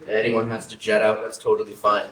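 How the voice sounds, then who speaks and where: A man's voice: on the low side, monotone, quick pace, fairly bright tone. A man speaking informally and politely to an audience, a little hesitant, as he presents a talk-back after a play. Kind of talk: casual audience announcement. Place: small arts centre theatre, recorded from a distance. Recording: echoey room, distant mic, faint noise.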